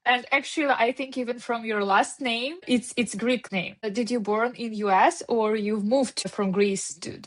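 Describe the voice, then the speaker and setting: A woman's voice: fairly high pitch, fairly even intonation, moderate pace, hardly any pauses, low-quality recording. A woman with a Ukrainian accent hosting a podcast, asking questions eagerly in non-native phrasing. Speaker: podcast host. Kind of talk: interview questions.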